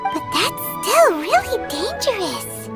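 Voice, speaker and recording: A tiny, chirpy fairy sidekick from a video game. The voice is extremely high and squeaky, swinging up and down with naive curiosity. It takes its time over words. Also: female voice, sing-song, slow pace, vocal fry, loud music behind the voice, exaggerated voice. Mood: curious. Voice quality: squeaky, childlike. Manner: speaks of self in third person.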